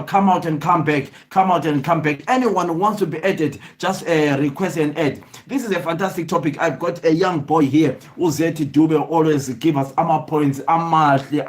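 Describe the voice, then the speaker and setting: A man from Zimbabwe hosting a casual live stream, greeting viewers by name and switching between English and Ndebele. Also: a little high. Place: away from home.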